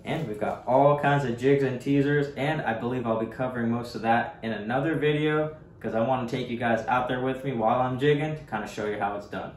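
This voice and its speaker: A man's voice: medium pitch, a little fast, few pauses. A young man, a fishing guide, chatting easily to his viewers as he unboxes gear at his desk in a small home office. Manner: easygoing, chatty, addresses viewers as guys. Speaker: surf fishing guide and channel host. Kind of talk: casual unboxing vlog talk. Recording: echoey room with faint hiss.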